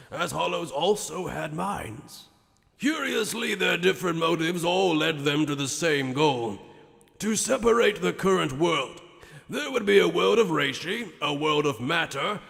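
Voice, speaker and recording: A man voicing an ancient, grandiose sage in an anime fan dub, telling lore in a measured, portentous and theatrical way. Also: fairly high pitch, vocal fry, fairly bright tone, full character voice. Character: ancient, grandiose male sage recounting the world's creation. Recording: long hall-like reverb.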